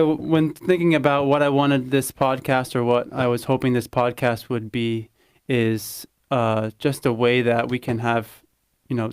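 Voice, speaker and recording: A man's voice: mid-range voice, clean tone, dry recording, clean studio sound. A young man speaking earnestly and thoughtfully on a podcast, searching for his words. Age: young adult. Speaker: podcast co-host. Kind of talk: casual podcast chat.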